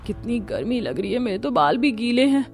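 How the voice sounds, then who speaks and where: Cartoon family drama: irritable young city wife played by a woman, complaining and sarcastic, highish voice over faint music. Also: sing-song, fairly quick, gravelly, darkish tone. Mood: hot, complaining. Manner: sarcastic, grumbling. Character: irritable young city-bred daughter-in-law, sulky and complaining. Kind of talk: Hindi animated family-drama story acting.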